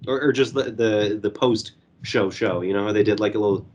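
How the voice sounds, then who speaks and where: Hoarse, rambling young man. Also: lowish voice, normal speed, a little mellow, highs cut off. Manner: rambling, profane, lots of fillers. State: hoarse, losing his voice. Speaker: movie reviewer guest. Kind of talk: casual podcast chat over a call.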